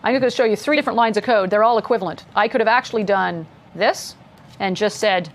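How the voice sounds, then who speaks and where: A woman's voice: medium pitch, fast talker, slight background noise. A woman casually walking through code as an instructor, chatty and upbeat, laughing along with her co-host. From mother from Scotland. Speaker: coding instructor. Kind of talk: casual screen-share coding lesson.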